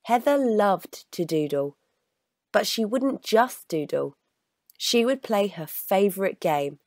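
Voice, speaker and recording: A woman reading a children's story aloud in an expressive, deliberate storytelling manner. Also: medium pitch, dry recording, studio quality. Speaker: storybook reader.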